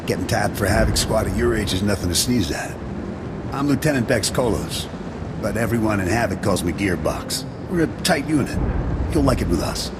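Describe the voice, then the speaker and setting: Low-voiced, folksy veteran army lieutenant played by an actor. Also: male voice, expressive, normal speed, heavy background noise, close to an everyday voice. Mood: breezy, friendly. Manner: folksy, breezy, avuncular, calls listener kid. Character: chatty, easygoing veteran military lieutenant and mechanic. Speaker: squad lieutenant. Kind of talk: video game cutscene acting.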